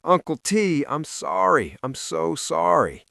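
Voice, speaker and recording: A man's voice: on the high side, very animated, slow, creaky, sharp tone, dry recording, clean studio sound, voice pushed up, heavily acted. A man voicing a humbled young nephew in a story, an ashamed groom speaking earnestly and apologetically. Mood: remorseful.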